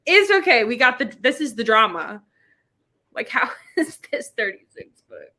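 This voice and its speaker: Female book club host, livestream chat. Bright and forceful, on the high side, exclamatory and full of slang. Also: big pitch swings, moderate pace. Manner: playful, exclamatory, slangy, spells out internet abbreviations.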